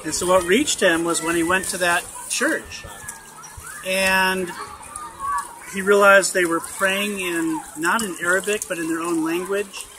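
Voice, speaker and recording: A woman's voice: lowish voice, steady tone, unhurried. Woman, coach, encouraging, recaps and supplies words, noisy recording. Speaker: coach guiding a testimony.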